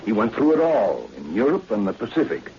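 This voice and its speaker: A man's voice: on the low side, sing-song, a little fast, a little mellow. A man playing a persuasive, self-assured news syndicate president in 1940s radio style, with an American accent and an emphatic, businesslike manner, on a muffled, hissy old recording. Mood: confident, insistent.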